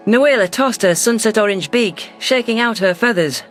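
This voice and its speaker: A man reading scripted first-person science fiction narration in a measured, literary delivery. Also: high voice, a bit bright, soft background music. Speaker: audiobook narrator.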